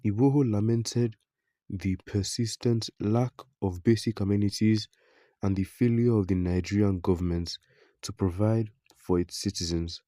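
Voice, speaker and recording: A man narrating a news report in a scripted, even read, quoting an interview and reading out public comments. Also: lowish voice, moderate pace, no echo, studio quality.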